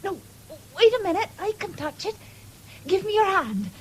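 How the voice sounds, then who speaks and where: Teasing farm girl, very high swoopy voice, vintage radio play. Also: very animated, moderate pace, poor audio. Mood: playful. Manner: coaxing, playful. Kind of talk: radio drama acting. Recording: faintly hissy 1970s radio recording with clicks.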